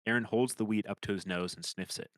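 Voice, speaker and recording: A man's voice: on the low side, fairly even intonation, fast talker, a bit bright, dry recording. A man reading screenplay stage directions as scripted narration.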